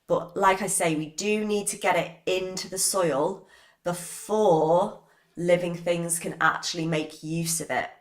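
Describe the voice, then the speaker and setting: Hoarse woman teaching a class. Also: on the low side, steady tone, unhurried. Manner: chatty, greets viewers by name. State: hoarse from a cold. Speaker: biology teacher. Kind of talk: live-streamed revision lesson.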